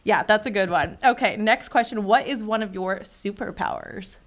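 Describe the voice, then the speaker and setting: A woman's voice: medium pitch, moderate pace. Bubbly young woman podcast host. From Northern California. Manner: bubbly, enthusiastic, laughs often. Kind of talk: upbeat podcast interview.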